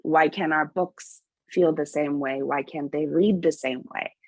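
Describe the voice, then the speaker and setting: A woman speaking as an author on a panel, giving candid opinions in a deliberate, emphatic way with a husky voice. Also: sits low, steady tone, no echo. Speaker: picture book author on a panel.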